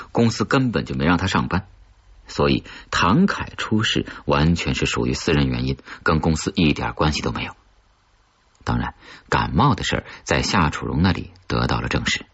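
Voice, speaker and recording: A man narrating a crime thriller audiobook in a husky, measured, dramatic storytelling manner. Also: very deep voice. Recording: slightly dull, lo-fi audio.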